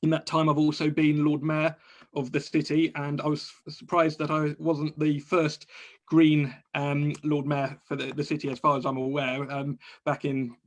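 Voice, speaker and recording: A man from England speaks over a video call in a fairly high voice that barely rises or falls. He talks in a measured, articulate way, like a councillor introducing himself to a panel. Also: completely flat delivery. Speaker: local councillor.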